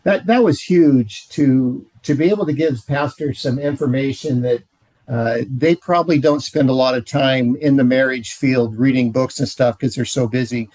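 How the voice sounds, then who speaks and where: A man presenting haltingly, recorded dry. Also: medium pitch, darkish tone, no room sound, low-quality recording. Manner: halting, repeats phrases. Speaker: presenter in marriage ministry. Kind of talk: informal presentation.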